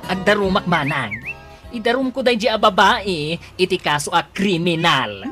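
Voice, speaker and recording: A woman's voice: lowish voice, animated, moderate pace, music in the background, close to an everyday voice. A woman playing an indignant, scornful female creditor in an Ilocano comedy radio drama, exclaiming with an Ilocano accent. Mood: scornful, vengeful. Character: indignant female creditor out to expose her debtor.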